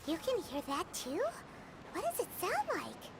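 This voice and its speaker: A woman voicing a tiny, curious and excitable childlike fairy companion in a video game. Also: very high voice, unhurried, background noise, full character voice. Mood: puzzled, questioning. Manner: exclamatory, inquisitive. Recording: loud game music behind. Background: loud music.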